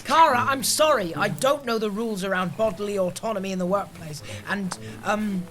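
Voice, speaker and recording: A man's voice: high-pitched, brisk, noisy background, natural voice. A man playing a timid, literal-minded junior office worker, nervous and politely awkward, in an audio sitcom. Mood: awkward, apologetic.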